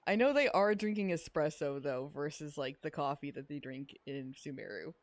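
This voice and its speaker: A woman's voice: low-pitched, lively intonation, normal speed, dry recording. A woman co-hosting a podcast, giving casual, chatty commentary on a video game. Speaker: podcast co-host.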